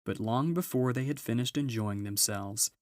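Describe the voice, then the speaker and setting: A man's voice: mid-range voice, normal speed, no echo, studio quality. A man reading a children's novel aloud as scripted narration. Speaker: storybook reader.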